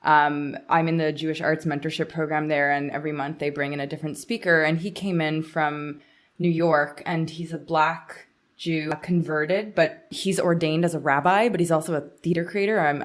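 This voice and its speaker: A woman's voice: sits low, fairly even intonation, normal speed. A woman co-hosting a podcast in a conversational interview style, chatty and thinking aloud. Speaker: podcast co-host.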